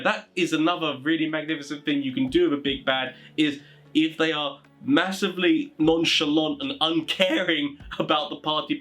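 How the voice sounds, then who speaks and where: A man with a fairly high-pitched voice talks to his viewers like an online host. His delivery is informal and conversational, rambling along with plenty of filler phrases as he offers advice. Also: fairly bright tone. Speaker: YouTube host giving tabletop game master advice.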